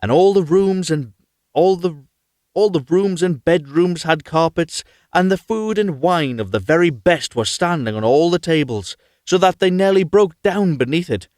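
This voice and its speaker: A man reading a fairy tale aloud live as an expressive storyteller. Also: on the high side, no room sound, studio quality. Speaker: storyteller, reader.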